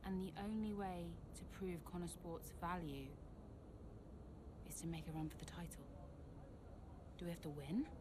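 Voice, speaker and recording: An actress playing a headstrong, guarded young racing driver, talking bluntly in naturalistic game dialogue. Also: female voice, fairly low pitch, fairly even intonation, moderate pace, long pauses, noisy background, natural voice. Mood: probing, concerned. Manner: blunt, challenging. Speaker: actor in a game cutscene.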